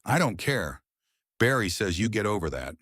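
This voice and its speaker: A man plays a cheerful young English lady in a vintage pulp tale. The speech is teasing and chatty, with very animated swings of pitch. The sound is close and dry. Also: normal speed, no echo. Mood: defiant, cheerful. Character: cheerful, spirited young English society woman.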